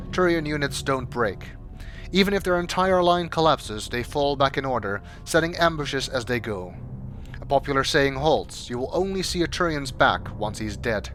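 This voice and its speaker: A man reads scripted, documentary-style narration in a measured, formal, expository manner. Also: mid-range voice, a bit bright. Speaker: amateur voice-over narrator. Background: background music.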